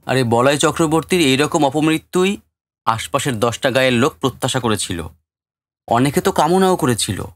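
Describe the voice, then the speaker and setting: A man's voice: medium pitch, expressive, normal speed, dry recording, close to an everyday voice. An actor playing a curious, gossiping village man in audio drama dialogue.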